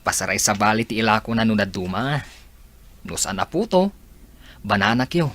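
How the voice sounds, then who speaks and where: An actor playing a friendly young construction worker in casual audio drama dialogue. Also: male voice, lowish voice, sing-song, normal speed, a bit bright. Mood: chatty.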